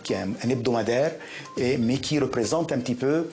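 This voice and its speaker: Gravelly man, hesitant documentary interview. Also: medium pitch, fairly quick, mellow, faint music behind. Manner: hesitant, self-correcting. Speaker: interviewee about the press.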